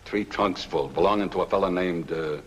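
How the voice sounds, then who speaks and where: A man acting a grumbling, exasperated trucking boss with a low voice. He complains mournfully and sarcastically, in old film character style, with long reverb and a hissy noise around him. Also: a little fast, natural voice. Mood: aggrieved, mournful.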